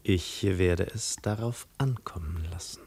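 An actor playing a suave, self-assured adventurer who poses as a prince, ironic and patronizing, in 1950s German radio drama style. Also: male voice, low voice, a little slow, darkish tone, husky, full character voice. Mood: cool, confident.

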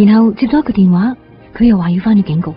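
Actress as gentle young woman, muffled radio drama. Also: medium pitch, racing, lightly acted. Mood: reflective, pitying. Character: gentle, caring young woman fond of a detective. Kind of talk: Cantonese radio drama acting and narration. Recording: dull band-limited radio broadcast, music behind.

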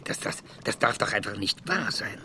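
An actor playing an older, hot-tempered retired space scientist in a radio drama, with a halting, blustering manner. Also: male voice, fairly low pitch, animated, bright, forceful tone, slight background noise. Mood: angry, indignant. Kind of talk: German radio drama acting.

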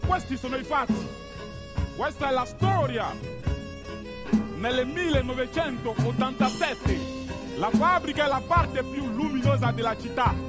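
Very high-pitched man declaiming in a hall. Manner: emphatic, deliberate, repetitive short phrases. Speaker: stage narrator. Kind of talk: theatrical storytelling, declaimed scripted monologue. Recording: long hall reverb, live music behind. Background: live musical accompaniment.

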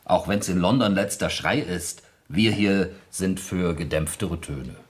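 An actor playing a patronising provincial police sergeant in a 1970s radio crime drama, with a familiar, teasing manner. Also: male voice, low-pitched, measured pace. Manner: familiar, mocking advice.

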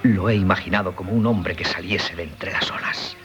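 An actor playing an earnest, polite and sickly young would-be sculptor in a Spanish radio play, with a Castilian accent and hesitant delivery. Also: male voice, low-pitched, normal speed, muffled recording, background noise, close to an everyday voice. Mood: dreamy, intense. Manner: polite, hesitant, deferential storyteller. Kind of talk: 1970s Spanish radio theatre acting. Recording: 1970s radio drama with music.

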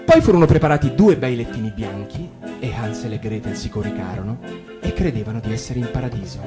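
A man reads a fairy tale for radio with a lively, varied delivery, sinking low and hushed for the dark passages. Gentle plucked guitar plays under his voice throughout. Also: fairly quick. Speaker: narrator. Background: guitar music.

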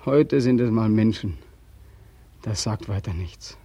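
Husky, tormented homecoming soldier. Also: male voice, lowish voice, no echo. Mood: bitter despair. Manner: anguished, halting, swinging from hush to outcry. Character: tormented, despairing young soldier home from war. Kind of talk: 1940s German radio drama acting. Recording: old 1940s radio recording.

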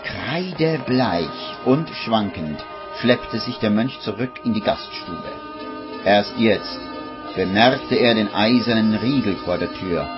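A slow, low-pitched man narrates a radio drama in measured, storytelling fashion, over music in a muffled vintage recording. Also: animated. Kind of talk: scripted radio play narration.

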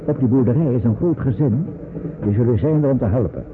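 An actor playing a calm, reassuring wartime resistance escort in a Dutch radio play, speaking in a measured, instructive way. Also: male voice, mid-range voice, lightly acted. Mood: calm, informative. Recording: muffled, noisy old radio play recording.

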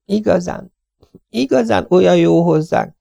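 A man's voice: high voice, gravelly, no room sound, studio quality, voice pushed up. A man voicing a timid, frightened married woman who speaks meekly and falteringly. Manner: meek, faltering, hushed.

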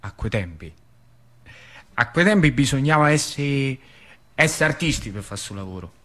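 Old-time ceramist, nostalgic, mid-pitched, emphatic repetitive storyteller, stage monologue. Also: male voice, lively intonation, normal speed, fairly bright tone. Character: nostalgic old-time male ceramics factory worker recounting his craft. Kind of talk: theatre monologue.

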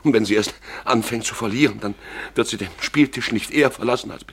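An anxious general begging for help in a radio drama. His voice sits low and falters as he pleads, with faint noise on the recording. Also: creaky, a bit bright. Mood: anxious, urgent. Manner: halting, imploring, repeats himself. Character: desperate, pleading Russian general, anxious family man.

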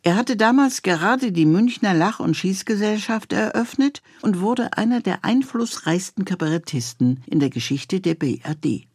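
A man with a husky voice giving a scripted introduction as a presenter. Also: sits high, lively intonation, normal speed, hardly any pauses, no echo, studio quality. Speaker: presenter introducing a radio series.

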